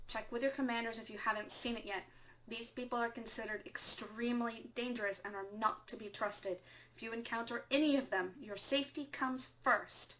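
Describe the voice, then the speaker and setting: A woman playing a composed, earnest resistance radio broadcaster in a scripted audio drama, speaking in a measured, solemn way. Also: a little high, steady tone, a bit bright, highs cut off, close to an everyday voice. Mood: calm, serious. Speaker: radio show host.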